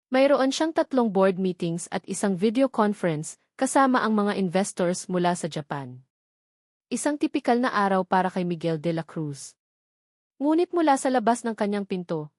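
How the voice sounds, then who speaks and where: A woman reading a romance story aloud in a steady, emotive, unhurried narration style. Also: medium pitch, no room sound, clean studio sound. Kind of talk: dramatic scripted story narration.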